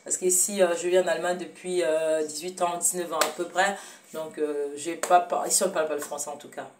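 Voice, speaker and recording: Cameroonian woman hosting a cooking vid in her kitchen, lowish voice, lots of umms, mic kinda far off, faint noise behind. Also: little pitch movement, a little fast. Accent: Cameroonian French. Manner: hesitant, many fillers and self-corrections, affectionate to viewers. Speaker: cooking show host. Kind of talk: casual home cooking demonstration. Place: home kitchen.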